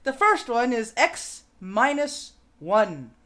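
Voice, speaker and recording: A woman hosts a casual live online show. Her voice sits fairly high, and she takes her time, speaking at a distinctly slow, unhurried pace. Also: very animated, fairly bright tone. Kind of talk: casual live-stream chat.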